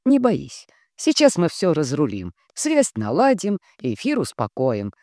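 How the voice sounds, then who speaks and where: Woman acting bossy brisk minder, raised pitch. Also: wildly swooping pitch, warm tone, no room sound, clean studio sound, full character voice. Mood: brisk, reassuring. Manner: stern, commanding, cajoling.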